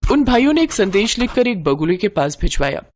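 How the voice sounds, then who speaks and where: Expressive woman storyteller. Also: medium pitch, brisk, a little hiss or hum. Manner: dramatic, expressive. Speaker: narrator. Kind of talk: scripted storytelling narration.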